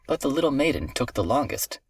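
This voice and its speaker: A man's voice: medium pitch, very animated, brisk, creaky, no room sound. A man reading a scripted fairy tale for children as a storyteller.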